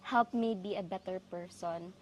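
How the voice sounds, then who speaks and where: Filipino woman in halting, reflective chat. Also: medium pitch, a little mellow, slight background noise, rough recording. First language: Cebuano. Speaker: podcast co-host. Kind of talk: casual, reflective chat mixing languages.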